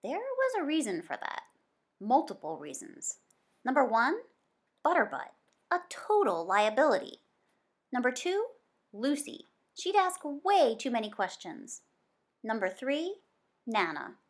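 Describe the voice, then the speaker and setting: Storybook schoolboy, played by a woman: boastful yet easily embarrassed, dramatic, lively swooping delivery. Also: high-pitched, measured pace, pauses often, heavily acted. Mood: anxious, dramatic.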